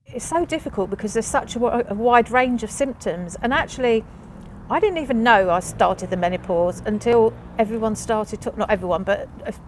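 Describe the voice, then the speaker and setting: A woman earnestly talking about her experience, with some halting restarts. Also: on the high side, slight background noise. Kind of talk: personal testimony.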